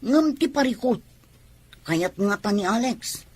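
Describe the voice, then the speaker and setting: A woman's voice: on the high side, expressive, a little slow, a little mellow, husky, no room sound. A Filipino actress playing a brash, scheming young tomboy woman in a radio soap opera.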